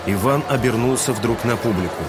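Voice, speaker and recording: A man's voice: mid-range voice, fairly quick. A man narrating a literary audio drama in a scripted, dramatic storytelling style. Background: music.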